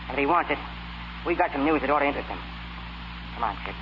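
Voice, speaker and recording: Man playing streetwise Brooklyn fight manager, slangy, quick-talking, 1930s radio comedy, noisy old recording. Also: mid-range voice, expressive, lo-fi sound, natural voice. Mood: dejected, resigned.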